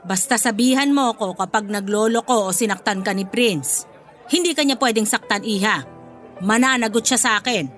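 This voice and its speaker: Casting: a woman as a blunt, domineering Filipino mother-in-law, slightly high voice, radio drama over music. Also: normal speed, a bit bright, close to an everyday voice. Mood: stern. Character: protective, scornful middle-aged mother-in-law, bossy and blunt. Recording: music bed behind the voice.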